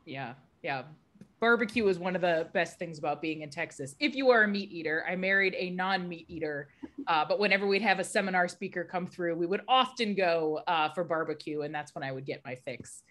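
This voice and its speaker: A woman's voice: on the low side, fairly quick, fairly bright tone. A woman hosting a science webinar over a video call, chatty, upbeat and candid. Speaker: webinar host.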